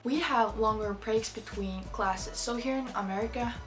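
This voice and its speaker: A woman's voice: on the high side, normal speed, faint music behind. A woman in her late teens with a Finnish accent, a native Finnish speaker, talking casually to camera as a vlogger and explaining things. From Finland. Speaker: vlogger, exchange student.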